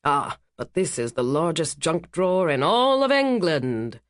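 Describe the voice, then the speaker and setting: A woman's voice: low-pitched, big pitch swings, a little slow, no room sound, studio quality. A woman doing a hearty naval commander. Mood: wry, joking. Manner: loud, hearty and wry. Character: big, cheerful British naval commander, man.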